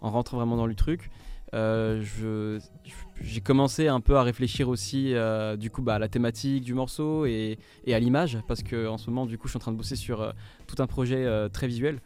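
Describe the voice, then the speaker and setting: Young man, smooth resonant voice, hesitant, faint music behind. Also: mid-range voice, fairly even intonation, a little fast, a little mellow. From French Guiana. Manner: hesitant, many fillers and restarts. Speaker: musician guest. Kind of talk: radio interview.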